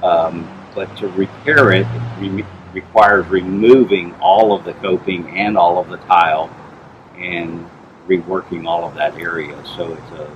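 A middle-aged man with a deep, low voice talks through pool problems in a steady, explanatory way, pausing with "uh" and "um." He is outdoors in a backyard, picked up by a camera mic a few steps away. Steady outdoor noise sits under his voice. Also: lo-fi sound. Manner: plain, measured, explanatory, with frequent "uh" and "um". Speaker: pool inspector.

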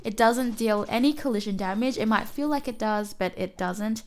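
A woman with a cough-roughened voice narrating a mobile game guide, explaining enemy patterns and often correcting herself. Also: a little high, moderate pace, a little mellow. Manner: explanatory, self-correcting, apologetic. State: hoarse from a cough. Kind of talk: gameplay guide voice-over.

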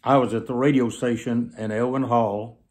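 An elderly man speaks as a preacher, telling stories in an earnest way. His voice sits at a middling male pitch. He stretches key phrases for emphasis as he addresses the camera. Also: fairly even intonation, moderate pace, a little mellow. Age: 70s. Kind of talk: sermon storytelling told to camera. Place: small plain indoor room, seated.

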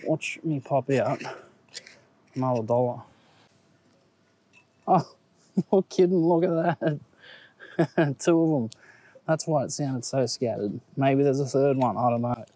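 A man in his thirties with an Australian accent, chatting casually to viewers while metal detecting outdoors, excitable and quick to laugh at his finds. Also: medium pitch. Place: outdoors on a grassy park lawn. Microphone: action camera on the body or set on the ground.

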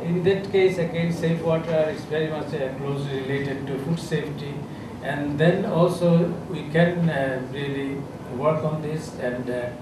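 Hesitant Bangladeshi official at a podium, echoey. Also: male voice, a little high, normal speed, hardly any pauses. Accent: Bangladeshi English. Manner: hesitant, many uh fillers and restarts. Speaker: government health official speaking on a panel. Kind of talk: unscripted panel remarks. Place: conference room with a seated audience. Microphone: podium microphone. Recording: echoey room, voice from a distance, clear background noise.

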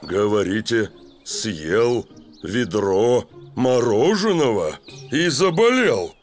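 Slow, lowish cartoon helper. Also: male voice, sing-song, soft background music. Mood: concerned, explanatory. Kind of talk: animated children's cartoon acting.

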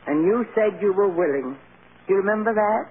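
A woman's voice: medium pitch, expressive, a little fast, lightly acted. An actress playing a stern but loving old grandmother, firm and earnest, in 1940s radio drama style. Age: older. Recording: tinny, hissy old radio recording.

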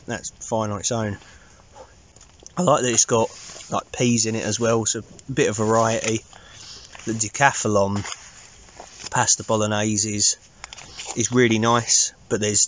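Low-voiced English man, casual vlogger. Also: dull audio, slight background noise. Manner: low-key, rambling, informal. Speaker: outdoor vlogger. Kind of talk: casual chat to camera. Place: inside a small tarp shelter outdoors at night.

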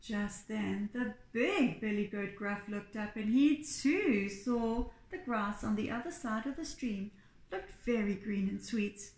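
A woman in her thirties, a teacher reading a children's storybook aloud expressively and deliberately. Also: mid-range voice, a little mellow. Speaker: pre-primary teacher. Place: small bare indoor room. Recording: echoey room.

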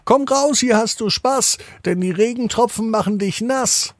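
A man's voice: very high voice, unhurried, a little hiss or hum, voice pushed up. A man voicing a playful, pleading little talking raindrop in a children's bedtime story, coaxing in a sing-song manner. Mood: playful, inviting.

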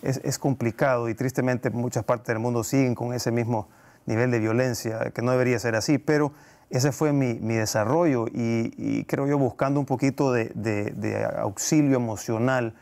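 A man, giving a conversational interview in a halting way, repeating phrases, on a lapel mic in a studio. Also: medium pitch, fairly even intonation, creaky, darkish tone. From El Salvador. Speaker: guest, actor and film producer. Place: TV studio set.

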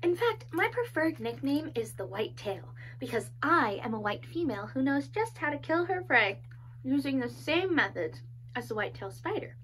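A woman performing a dark comedic monologue as a playful, sadistic female killer taunting her captive, whispering menace between loud bursts. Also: sits high, sing-song, normal speed, a little hiss or hum, rough recording. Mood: gloating menace.

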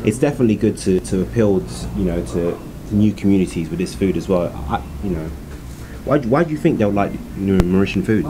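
A man's voice: sits low, expressive, a little fast, noisy background. Chatty young man hosting. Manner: chatty, jokey, lots of "you know" fillers. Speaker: host. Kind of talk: casual food show interview. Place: small restaurant, indoors. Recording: background music.

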